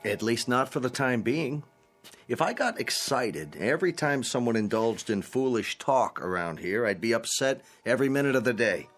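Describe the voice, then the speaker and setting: A man's voice: medium pitch, expressive, a bit bright, soft background music. Patient, reasonable male administrator. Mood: unruffled, explaining. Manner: patient, measured, placating. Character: calm, reasonable male manager of an old folks' home. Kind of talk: radio drama acting.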